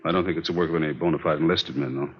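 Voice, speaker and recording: A senior police chief, low in pitch and quick in his talk. He is terse and businesslike, in old radio style on a muffled recording. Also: fairly even intonation, a little hiss or hum. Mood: brisk, concerned. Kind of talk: 1940s-50s radio acting, clipped.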